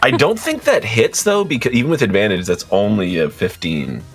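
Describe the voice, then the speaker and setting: A man with a low, slightly husky voice runs a tabletop game. His casual narration moves up and down in pitch a lot, with faint music playing behind him. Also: big pitch swings, moderate pace. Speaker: game master.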